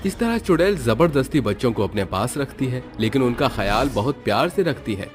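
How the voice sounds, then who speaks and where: A man's voice: mid-range voice, sing-song, brisk. A man narrating a scripted dramatic story. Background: background music.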